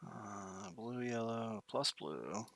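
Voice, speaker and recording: A man's voice: lowish voice, animated, takes it slowly, a bit bright, dry recording. A man streaming a game tutorial from his bedroom, thinking aloud with many fillers, his voice getting tired.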